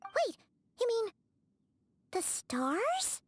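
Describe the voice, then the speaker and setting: A woman voicing a tiny, childlike fairy companion in a video game, excitable, cheeky and petulant, with a chirpy, dramatic delivery. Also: very high voice, very slow, a little hiss or hum, exaggerated voice. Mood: eager. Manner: chirpy, refers to self in third person, dramatic. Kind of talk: scripted video game dialogue.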